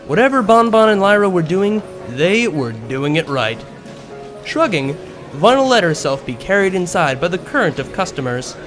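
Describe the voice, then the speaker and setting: Male narrator, fairly high voice, very lively intonation, expressive storytelling, music behind. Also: moderate pace. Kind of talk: scripted audiobook-style story narration.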